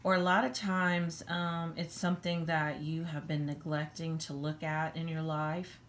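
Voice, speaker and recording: A woman with a hoarse voice giving a casual tarot reading, halting with many ums and frequent throat clearing. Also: low voice, fairly even intonation, fairly bright tone, a little hiss or hum. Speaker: tarot reader.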